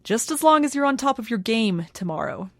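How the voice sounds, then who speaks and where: A woman's voice: fairly high pitch, sing-song, a little fast, no echo. A woman playing a wry, forthright and competitive village cook in a hobby radio play, with a dry, teasing manner.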